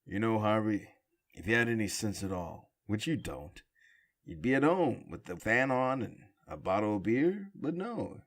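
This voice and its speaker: A man's voice: on the low side, very animated, normal speed. A man playing a wry lawman who grumbles to himself in sardonic, colloquial talk in a comic book drama. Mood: self-mocking.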